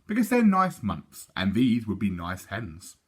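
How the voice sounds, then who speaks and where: A man's voice: lowish voice, huge pitch swings, unhurried, slightly rough, heavily acted. A man voicing a small, determined girl who is stubborn, self-assured and quaintly prim, as in a storybook. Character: determined, matter-of-fact small girl with farming plans.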